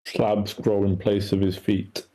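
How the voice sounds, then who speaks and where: A man's voice: on the low side, monotone, a little slow, dark tone, a little hiss or hum, low-quality recording. A man reading screenplay action lines aloud as a narrator at a live table read.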